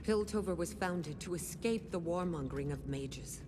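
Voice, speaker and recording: A woman's voice: on the low side, normal speed, over loud music, close to an everyday voice. An actress playing a stern, disapproving female councilor. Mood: firm. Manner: firm, disapproving. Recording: film clip with reverb and score.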